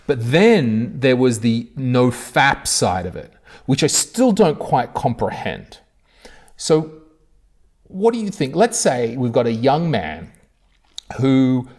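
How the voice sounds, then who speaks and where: A man hosting a podcast, with a voice sitting at an ordinary middle pitch. He talks in a loose, rambling way, drifting into personal stories and joking asides before getting to his question. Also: darkish tone. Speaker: podcast host. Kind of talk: casual interview chat.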